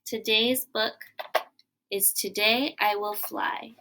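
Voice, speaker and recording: A woman teacher reading a picture book aloud for children, gently and clearly. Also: mid-range voice, steady tone, takes it slowly, background noise.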